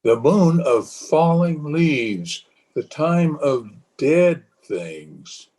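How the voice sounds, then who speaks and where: An actor playing a haunted, superstitious, fearful husband in the dramatic, halting style of a 1930s radio horror play. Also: male voice, fairly high pitch, lively intonation, warm tone, full character voice. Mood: brooding, ominous. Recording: husky, muffled voice recorded over video call.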